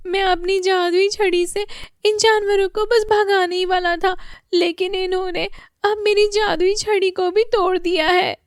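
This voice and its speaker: A woman's voice: steady tone, measured pace, no echo, clean studio sound. Woman doing whiny lazy boy Manish. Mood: wailing. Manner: whining, high and sing-song.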